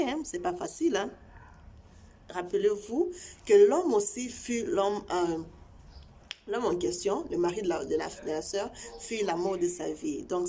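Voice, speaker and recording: Woman, of congo, tshiluba speaker, lowish voice, halting casual talk, faint background hiss. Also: mellow. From Congo (DRC). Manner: halting, many repeated words and fillers. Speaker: channel host. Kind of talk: casual chat to viewers.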